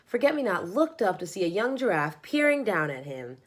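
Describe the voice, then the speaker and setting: Woman, storybook reader, scripted children's narration, expressive, animated intonation. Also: mid-range voice, normal speed.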